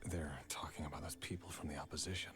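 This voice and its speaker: An actor voicing a sinister man who whispers in a low, breathy hush. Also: level tone, quick pace. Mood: menacing whisper.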